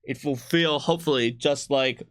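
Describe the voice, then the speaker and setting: A man's voice: mid-range voice, normal speed, fairly bright tone, no echo, studio quality. A man speaking as a game designer in a casual livestream chat, explaining rules in a rambling, detailed way. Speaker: game designer answering viewer questions on a livestream.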